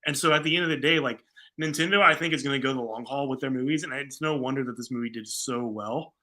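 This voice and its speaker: A man's voice: mid-range voice, fast, bright, forceful tone. A man in his thirties co-hosting a casual movie discussion, talking emphatically like an opinionated fan. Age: 30s. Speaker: podcast co-host.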